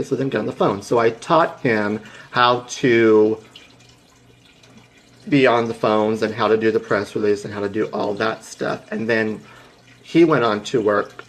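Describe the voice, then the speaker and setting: Playful, chatty young man telling a story. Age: 20s. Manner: chatty and playful, breaks off to greet viewers by name; rambling with restarts, jumps to high excited pitch. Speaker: livestream host. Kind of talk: casual motivational storytelling to live viewers.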